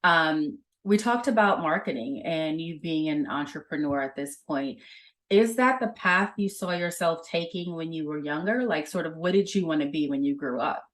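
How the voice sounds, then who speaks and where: Woman, podcast host, deep voice, enthusiastic, chatty, lots of ums. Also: moderate pace, a bit bright. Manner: enthusiastic, chatty, many fillers. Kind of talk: interview hosting.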